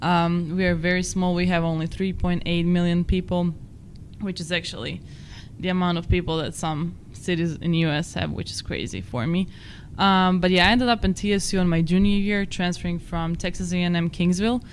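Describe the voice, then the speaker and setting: A woman's voice: on the low side, steady tone, a little fast, a little hiss or hum. Croatian-accented young woman, chatty. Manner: chatty, self-deprecating, non-native phrasing. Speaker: podcast co-host. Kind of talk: casual chat.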